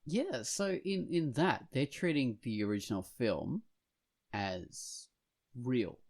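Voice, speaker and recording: A man's voice: mid-range voice, lively intonation, slow pace, darkish tone. A man co-hosting a podcast in casual, conversational discussion. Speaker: podcast co-host.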